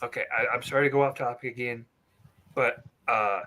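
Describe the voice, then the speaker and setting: A man's voice: mid-range voice, fairly even intonation, fairly quick, pushed and bright. A man co-hosting a wrestling podcast in loose, casual chat, rambling into side stories and apologizing for going off topic. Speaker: podcast co-host.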